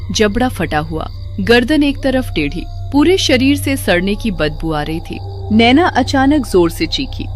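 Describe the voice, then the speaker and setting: Animated woman narrating horror. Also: lowish voice. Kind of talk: dramatic scripted horror narration.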